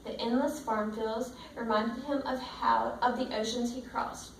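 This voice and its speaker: Female teacher, storybook read-aloud, higher-pitched voice, roomy sound with faint noise. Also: level tone, unhurried, creaky. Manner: checks understanding with simple questions. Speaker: teacher reading a picture book to children. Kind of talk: read-aloud with questions to young pupils. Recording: roomy, distant mic, faint background noise.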